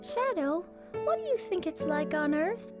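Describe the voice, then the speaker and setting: A woman voicing a gentle, wistful young girl in a video game cutscene. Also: extremely high pitch, sing-song, measured pace, heavily acted. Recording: game audio buried under loud music.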